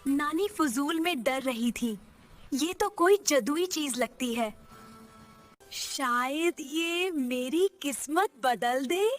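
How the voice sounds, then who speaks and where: A woman voicing a young girl in a storybook tale, emotional and expressive in her lines. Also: sits high, slow. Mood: curious. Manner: emotional, wistful to indignant. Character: young girl, a proud princess and a poor free-spirited girl. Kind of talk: storybook drama acting. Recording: soft music behind the voice.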